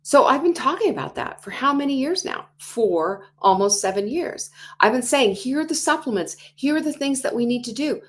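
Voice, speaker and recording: A woman in her sixties hosting a motivational talk show, animated, acting out quoted inner voices and dropping to whispers. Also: sits high, normal speed. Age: 60s. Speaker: host.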